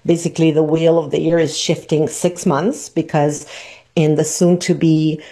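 A woman, hosting a podcast and teaching in an unhurried way, tagging her points with "right?". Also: low-pitched, little pitch movement, mellow. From Argentina. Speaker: podcast host. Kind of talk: reflective teaching talk.

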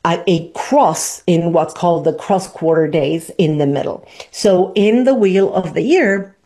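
A woman, hosting a podcast and teaching in an unhurried way, tagging her points with "right?". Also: lowish voice, dark tone. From Argentina. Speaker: podcast host. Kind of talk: reflective teaching talk.

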